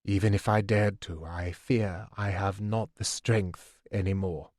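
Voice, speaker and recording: A man reading the part of a weary, failing aging king, speaking low and hushed with a heavy, slow delivery. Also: fairly even intonation, no echo, studio quality. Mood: weary, grave.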